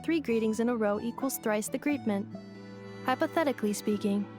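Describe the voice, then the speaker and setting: A composed female android, voiced by a woman in a video game, speaking in exact, formal phrases with a slightly high voice. Her manner stays literal and polite. Game music plays clearly behind her. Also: a little fast, close to an everyday voice. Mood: inquisitive. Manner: flat, precise, formal machine-like phrasing. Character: female android, calm literal-minded robot. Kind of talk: game voice acting. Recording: game dialogue over music. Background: game score music.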